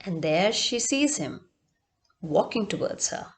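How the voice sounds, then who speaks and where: A woman's voice: medium pitch, lively intonation, slow pace. A woman storyteller narrating expressively and dramatically, in short emphatic phrases with repetition.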